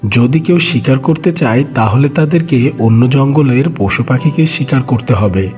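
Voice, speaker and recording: A man's voice: mid-range voice, normal speed, darkish tone, slightly rough. Male cartoon storyteller over light music. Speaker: narrator. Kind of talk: scripted children's story narration.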